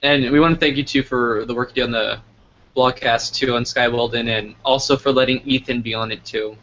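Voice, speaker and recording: Bright-voiced male interview host, lo-fi sound. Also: mid-range voice, fairly even intonation, a little fast, slight background noise. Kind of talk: casual live interview hosting.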